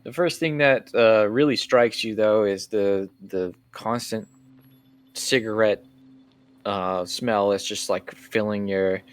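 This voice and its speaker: A man working as game master, improvising scene narration for a tabletop role-playing game in a halting, thinking-aloud way. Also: on the low side. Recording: clear background room noise.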